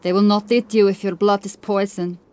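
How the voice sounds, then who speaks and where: A woman's voice: mid-range voice, fairly even intonation, brisk, warm tone, clear, resonant tone, close to an everyday voice. A woman voicing a bold, curious and boastful Viking warrior woman in an audiobook. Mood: teasing. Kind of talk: audiobook character acting.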